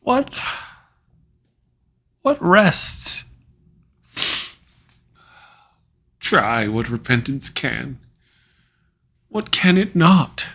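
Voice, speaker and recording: A man playing a tormented, guilt-ridden usurper king in a Shakespearean stage soliloquy, with dramatic, weighty pauses. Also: on the high side, a bit bright, slightly rough, exaggerated voice. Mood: bitter reckoning.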